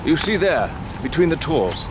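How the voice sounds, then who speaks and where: An actor playing a greedy, imperious aristocratic lord in an audio drama, giving haughty, clipped commands. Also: male voice, on the high side, big pitch swings, normal speed, background noise. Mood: commanding.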